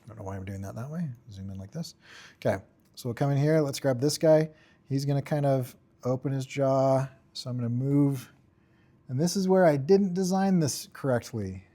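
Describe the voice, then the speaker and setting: A man giving an informal software tutorial, thinking aloud in short, offhand fragments as he works. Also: mid-range voice, normal speed. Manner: offhand, talks in short fragments while working. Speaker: tutorial instructor.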